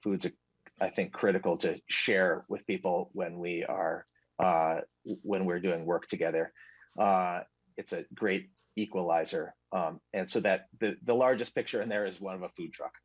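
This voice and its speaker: A lower-voiced man presents at a conference. He halts often, with fillers and restarts. The sound is dull and dry, like remote audio. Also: steady tone, slightly rough, no room sound. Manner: halting, many fillers and restarts. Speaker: physician presenter.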